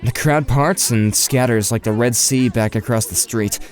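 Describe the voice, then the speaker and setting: A man's voice: medium pitch, moderate pace, lightly acted. Earnest, awkward teenage boy narrator, played by a man. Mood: wry narrating. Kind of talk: first-person narration and dialogue in an audio drama.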